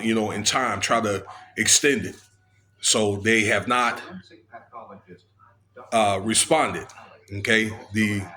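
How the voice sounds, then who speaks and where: An American man giving informal, unscripted commentary on legal news, halting as he goes and often checking in with the listener. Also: fairly low pitch, fairly bright tone. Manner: halting, with frequent checking tags. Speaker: video commentator.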